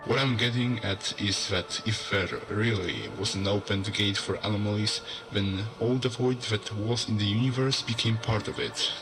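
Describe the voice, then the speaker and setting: A man playing a sober narrator who lays out an ominous theory in a measured, expository horror narration. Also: lowish voice, close to an everyday voice, low-quality recording. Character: male narrator soberly presenting a theory of apocalyptic anomalies. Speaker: narrator of an analog horror video. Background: background music.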